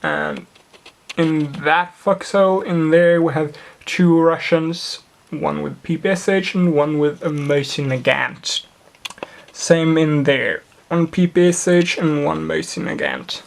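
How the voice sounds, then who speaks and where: A native Swedish speaker with a Swedish accent, casually showing off a model build and often pausing to search for English words. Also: medium pitch, big pitch swings, gravelly. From Sweden. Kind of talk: casual show-and-tell narration.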